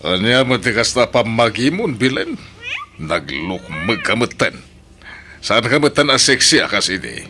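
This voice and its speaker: A man's voice: on the low side, very animated, unhurried, over music. A man playing a callous, scornful lover in an Ilocano radio drama, blunt and dismissive, with an Ilocano accent. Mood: mocking, disdainful. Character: callous, scornful lover tired of his sick partner.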